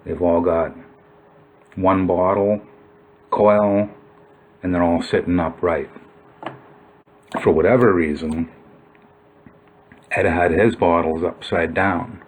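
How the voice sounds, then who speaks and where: Male narrator, deep pitch, informal explainer commentary, musing, thinking aloud. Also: steady tone, takes it slowly, long pauses, breathy edge. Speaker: video narrator. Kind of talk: informal explanatory commentary over old photos.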